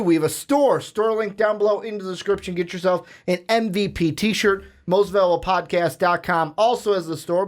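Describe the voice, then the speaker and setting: A man co-hosts a sports podcast with energy, reading out rankings and plugging the show. His voice sits a bit high for a man. He talks in a loose, casual way. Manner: energetic, plugs and runs the segment. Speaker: podcast co-host. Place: podcast studio.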